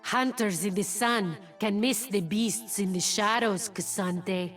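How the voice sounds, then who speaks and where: A woman playing a fierce, proud jungle huntress in a video game, with a menacing, deliberate, taunting delivery. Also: medium pitch, recorded from a distance, faint music behind, lightly acted. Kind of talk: video game character voice lines.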